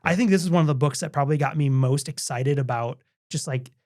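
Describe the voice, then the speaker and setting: A middle-aged man co-hosting a podcast, chatting eagerly with quick restarts and frequent enthusiastic agreement. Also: a little high, darkish tone, no echo, clean studio sound. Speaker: podcast co-host. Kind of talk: casual chat.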